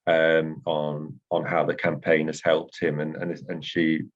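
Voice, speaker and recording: A man's voice: rumbling low, little pitch movement, unhurried. A man giving a hesitant update at a meeting, with many fillers. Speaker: meeting speaker. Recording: dull lo-fi sound.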